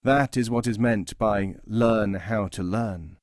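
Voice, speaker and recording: A man voicing a great Sufi master in a teaching tale, measured and gently admonishing. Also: lowish voice, no room sound, studio quality. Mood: calm rebuke. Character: great Sufi master, male, calm and stern. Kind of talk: storybook teaching-tale character voice.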